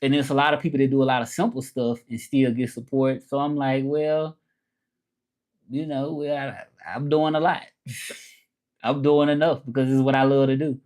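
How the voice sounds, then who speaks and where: A man's voice: mid-range voice, flat delivery, normal speed, a little mellow. Southern American man chatting live. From Memphis, Tennessee, USA. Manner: repeats phrases, talks straight to viewers, switches languages mid-thought. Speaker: live streamer and language teacher. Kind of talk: casual live-stream chat, switching between English and French.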